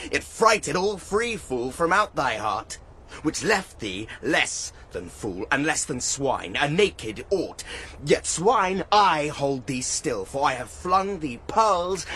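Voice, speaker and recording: A proud, bitter knight in a verse audio drama. His mid-pitched voice moves slowly but very expressively through scornful declamation, with faint noise in the background. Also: pushed and bright, heavily acted. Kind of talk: theatrical audio drama acting of archaic verse.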